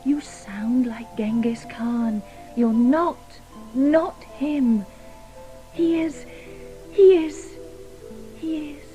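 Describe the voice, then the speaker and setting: An actress playing a gentle, ghostly guiding woman in a radio play, breathy and often whispering, with hushed, coaxing delivery. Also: sits high, very slow, long pauses, soft background music. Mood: urgent warning. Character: gentle, ghostly woman, soothing imagined guide.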